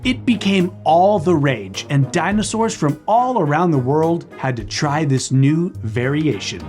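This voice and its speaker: A man co-hosting a children's read-aloud, narrating in a playful, expressive way. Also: on the high side, a little slow, faint music behind.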